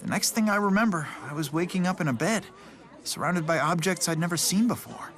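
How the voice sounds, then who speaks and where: A man's voice: high-pitched, expressive, a little fast, fairly bright tone. An actor playing a polite, thoughtful, easily fascinated young man in a video game.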